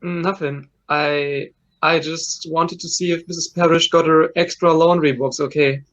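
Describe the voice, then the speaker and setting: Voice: mid-range voice, fairly even intonation, unhurried, fairly bright tone, muffled recording, lightly acted. A performer playing a nervous, apologetic male elevator attendant in an audio play, hesitant and stammering. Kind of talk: amateur audio play acting.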